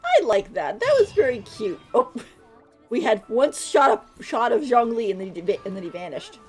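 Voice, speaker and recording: A woman's voice: fairly high pitch, big pitch swings, moderate pace, darkish tone. A woman streaming a video game, giving casual, informal and giggly live reactions. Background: faint game music.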